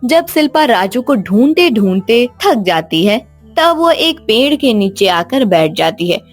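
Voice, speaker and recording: High-pitched woman storyteller. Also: very animated, unhurried, faint music behind. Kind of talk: scripted narration of a children's cartoon story.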